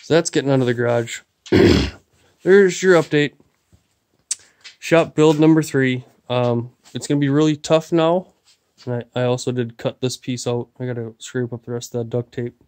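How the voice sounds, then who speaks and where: A man's voice: mid-range voice, moderate pace, darkish tone. A man with a nasal, stuffy voice from a cold, casually rambling through a tour of his cluttered garage workshop on a handheld phone. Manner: rambling, many fillers. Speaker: vlogger. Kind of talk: casual shop tour vlog. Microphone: handheld phone camera.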